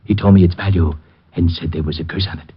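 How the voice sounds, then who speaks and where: An actor playing a frightened, paranoid heir, agitated, stammering and pleading, in old radio drama style. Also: male voice, low-pitched, fairly even intonation, fast, breathy edge. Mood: ominous, intense. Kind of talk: 1940s radio drama acting. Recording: dull vintage radio recording.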